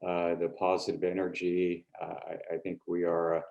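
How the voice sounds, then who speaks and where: Deep, gravelly American man. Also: monotone, normal speed, darkish tone, highs cut off. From California, United States. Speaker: board trustee. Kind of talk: informal self-introduction at an online meeting.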